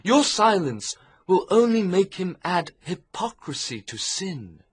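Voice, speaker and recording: A man's voice: high-pitched, sing-song, takes it slowly, husky, voice pushed up, exaggerated voice. A man voicing an anguished young male minister in an audiobook, sweet, rich and broken in tone. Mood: pleading.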